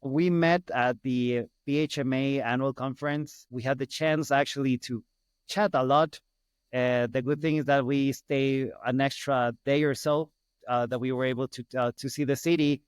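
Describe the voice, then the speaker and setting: A middle-aged man from Ecuador with a Spanish accent talks casually as a podcast guest. His voice is clean and smooth, in a dry recording, and he often repeats phrases to make a point. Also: medium pitch, fairly even intonation, moderate pace, no echo. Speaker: podcast guest, hospital business owner. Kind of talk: casual interview storytelling.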